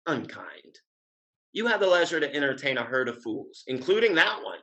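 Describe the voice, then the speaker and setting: An actor playing a witty, lovesick gentleman suitor in a Restoration stage comedy, speaking in a formal, wry, sententious way. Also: fairly high pitch, big pitch swings, normal speed, exaggerated voice. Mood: sardonic.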